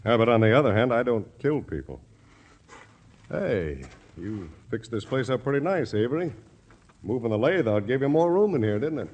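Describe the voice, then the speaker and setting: An actor playing a fed-up, defensive local neighbor in a vintage radio drama, talking in a halting, folksy way. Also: male voice, medium pitch, animated, a little mellow, muffled recording, lightly acted. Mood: awkward, guarded.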